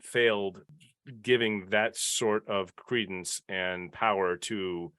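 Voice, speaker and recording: Low-voiced man in casual panel talk. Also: fairly even intonation, takes it slowly, bright, forceful tone, smooth, no room sound, clean studio sound. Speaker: podcast panel host.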